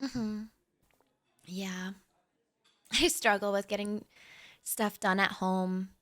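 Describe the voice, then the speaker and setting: A young woman voicing a shy writer who is a regular at a café, talking softly and giggling nervously in an audio roleplay. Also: mid-range voice, fairly even intonation, takes it slowly, no echo. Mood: reflective. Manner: soft, giggly, a little nervous and rambling.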